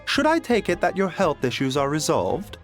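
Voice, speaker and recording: A man playing a calm, considerate doctor in a video game, polite, measured and formal. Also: a little high, soft background music. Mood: courteous, attentive. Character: soft-spoken, courteous male doctor, calm and considerate.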